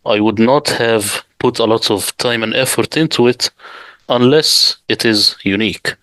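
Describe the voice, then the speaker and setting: A man's voice: medium pitch, a little slow, sharp tone, no room sound. A man from the Middle East whose first language is Arabic, speaking as an interview guest about his translation work and repeating his key points with emphasis. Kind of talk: interview conversation.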